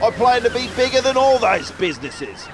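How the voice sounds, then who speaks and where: A woman's voice: fairly high pitch, very animated, moderate pace, over music. A woman playing a gloating, greedy villainous general manager in a melodramatic radio play, boastful and scheming.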